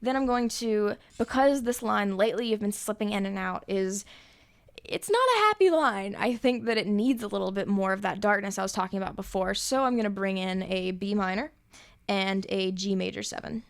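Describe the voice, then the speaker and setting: Young woman, high voice with noticeable vocal fry, relaxed explainer as a songwriter. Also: moderate pace. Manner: relaxed, explanatory, with thinking fillers. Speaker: songwriter explaining her arrangement. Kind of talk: casual music theory walkthrough.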